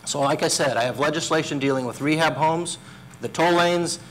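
A man's voice: on the high side, normal speed, a bit bright, slight background noise. A middle-aged man, a political candidate in a panel debate, talking assertively and repeating himself over interruptions, on a lapel mic in a community hall. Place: community center hall with audience.

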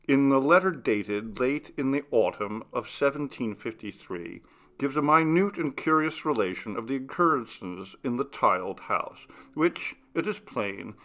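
A man narrating a literary story from a script in a measured, formal storytelling manner. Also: medium pitch, creaky, fairly bright tone. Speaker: audiobook narrator.

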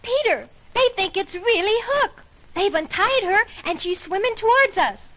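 A woman playing a sweet, caring young girl with a gentle manner in a children's story recording. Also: very high-pitched, lively intonation, a little slow, full character voice. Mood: anxious.

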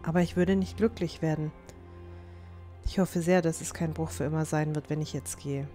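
A gentle teenage girl, acted by a woman, in a fantasy audio drama. Her voice is mellow and soft-spoken, earnest and resolute, with faint music underneath. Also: deep voice, steady tone, normal speed, lightly acted. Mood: earnest, hopeful.

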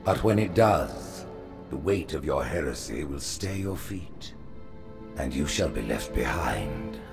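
A man's voice: very deep voice, sing-song, measured pace, creaky, over music, heavily acted. A man playing a grave, commanding alien hierarch in a video game, speaking formally and solemnly. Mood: stern judgment. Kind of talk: dramatic video game cutscene acting. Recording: game audio with loud background score.

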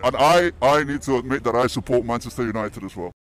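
Spanish guy doing casual matey street interviews outside, medium pitch, handheld mic. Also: moderate pace. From Barcelona, Spain. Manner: informal, matey. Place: outdoors on a city bridge. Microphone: handheld interview microphone.

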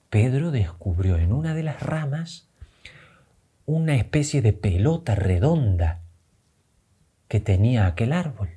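A man in his thirties with an Argentine accent, telling a children's story expressively, with dramatic pauses and simple, deliberate phrasing. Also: mid-range voice, a little mellow. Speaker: children's storyteller and channel host.

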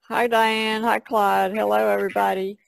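A woman's voice: medium pitch, flat delivery, moderate pace, gravelly, a little hiss or hum. A woman introducing herself casually as a podcast panelist. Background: music.

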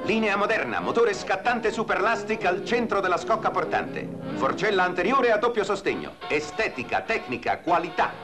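A man's voice: sits high, sing-song, normal speed, music in the background. A man delivering a punchy, salesy voice-over for an old advertisement. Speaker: advertising announcer. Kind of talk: vintage ad voice-over.

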